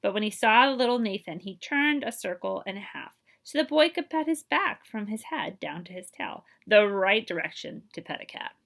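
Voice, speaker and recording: A woman reading a children's picture book aloud as a librarian, with expressive, emphatic delivery and dramatic pauses. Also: mid-range voice, creaky.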